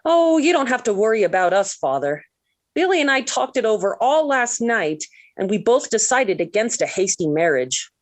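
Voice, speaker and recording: Gushing, swooping American teenage daughter. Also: female voice, on the high side, very animated, moderate pace. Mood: lovestruck. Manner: gushing, theatrical, airy. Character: dreamy, melodramatic, lovesick teenage daughter. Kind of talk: 1940s radio sitcom acting. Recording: vintage 1940s radio recording.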